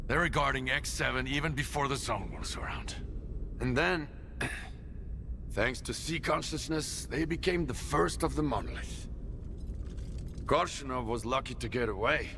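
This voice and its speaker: An actor playing a gruff, seasoned guerrilla fighter in a video game, speaking in terse, clipped phrases. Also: male voice, medium pitch, animated, moderate pace, pauses often, sharp tone, a little hiss or hum. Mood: grim. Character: gruff, seasoned male stalker guerrilla ally. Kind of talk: video game cutscene dialogue.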